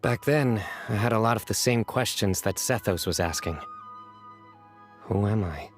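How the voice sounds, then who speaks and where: An actor playing a stoic, dignified young champion in a video game, composed, deadpan and self-assured. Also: male voice, lowish voice, normal speed, natural voice. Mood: reflective. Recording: music under the dialogue.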